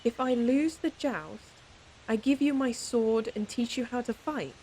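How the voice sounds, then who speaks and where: A woman's voice: fairly high pitch, unhurried, mellow, slight background noise, close to an everyday voice, low-quality recording. A woman playing a proud, stern lady knight in an audio roleplay, scolding her companion in an exasperated but secretly fond way. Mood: defensive, haughty. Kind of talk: audio roleplay acting, speaking to the listener.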